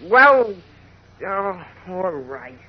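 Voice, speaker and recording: Pleading high-voiced anxious boy. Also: very animated, drawn-out words, full character voice. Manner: pleading, hesitant. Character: anxious, guilt-stricken teenage boy, polite but desperate. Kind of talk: 1940s radio acting. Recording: dull, very noisy old radio recording.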